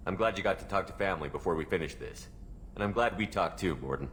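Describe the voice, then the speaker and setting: Deep-voiced, steady game hero. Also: male voice, slight background noise. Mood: sincere. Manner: direct, measured questioning. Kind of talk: video game dialogue acting.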